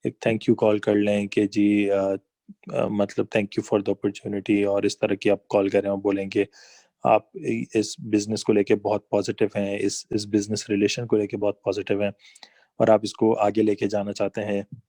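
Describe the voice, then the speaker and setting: A man's voice: on the low side, monotone, unhurried, warm tone, dry recording. A man giving a slide-based business lesson, talking conversationally and explaining with examples and asides. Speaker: online business trainer.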